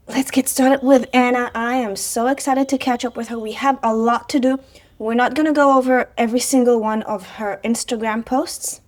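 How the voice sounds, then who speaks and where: A woman, chatting casually on her vlog with sarcastic asides and frequent fillers. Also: on the high side. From Israel. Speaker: vlogger, personal trainer.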